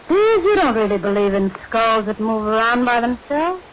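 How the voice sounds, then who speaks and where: A woman's voice: on the high side, expressive, moderate pace, close to an everyday voice, poor audio. An actress playing a cold, scheming, commanding woman who speaks in clipped, insistent questions, in vintage radio thriller style. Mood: cold, accusing. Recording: vintage radio recording, hiss, rain behind.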